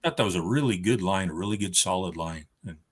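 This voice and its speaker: A man on a discussion panel, chatting casually about films in a measured, reflective manner. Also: low voice. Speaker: roundtable panelist.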